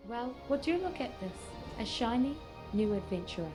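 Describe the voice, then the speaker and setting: A woman's voice: on the high side, expressive, moderate pace, lightly acted. A woman voicing a sly townswoman in scripted fantasy game dialogue. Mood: intrigued. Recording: clear music behind the voice.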